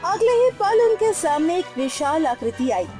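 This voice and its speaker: A woman's voice: extremely high pitch, big pitch swings, unhurried. A woman narrating a scripted suspense story in a dramatic style. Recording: music behind the narration.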